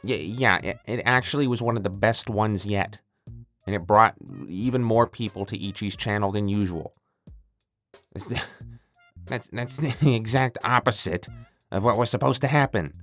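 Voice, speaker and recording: A man's voice: on the low side, moderate pace, fairly bright tone, close to an everyday voice. A man playing a self-pitying, petulant evil mad scientist in a comedic audio skit, stammering and melodramatic. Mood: dejected, whiny. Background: creepy music.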